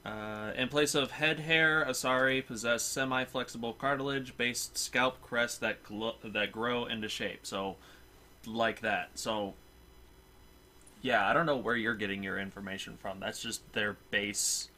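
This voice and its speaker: A man giving casual game commentary among friends, halting and often repeating himself. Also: mid-range voice, sharp tone, a little hiss or hum. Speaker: gaming commentator.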